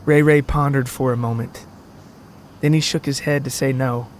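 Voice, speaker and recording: A man narrating a scripted comic story in a wordy, deadpan manner. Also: mid-range voice, moderate pace, a little hiss or hum.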